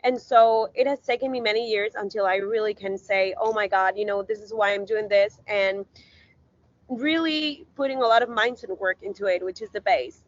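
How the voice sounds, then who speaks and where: A woman's voice: medium pitch, normal speed, dull audio. A woman from Ecuador, a guest in an interview, talking earnestly with lots of fillers and rambling asides. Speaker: guest, visibility strategist. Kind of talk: casual interview talk.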